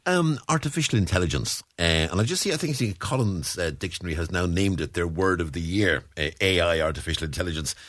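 A man hosts local radio. He talks fast and chattily, often repeating words as he starts a sentence. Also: deep voice, expressive, fairly bright tone. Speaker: radio show host. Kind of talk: radio chat. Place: radio studio.

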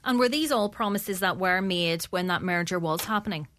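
A woman's voice: on the low side, moderate pace, fairly bright tone. An Irish woman interviewing a guest for radio, asking questions in a conversational, prompting way.

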